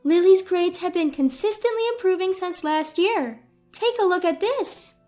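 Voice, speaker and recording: A woman's voice: extremely high pitch, normal speed, warm tone, soft background music, pitched above the performer's own voice. A woman voicing a kind, attentive schoolteacher who speaks politely and encouragingly in a narrated family story. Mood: pleased.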